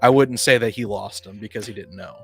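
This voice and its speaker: A man in a casual podcast discussion, explaining his thinking in a halting, explanatory way. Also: on the low side, creaky, fairly bright tone, faint music behind. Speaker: podcast speaker on tabletop gaming.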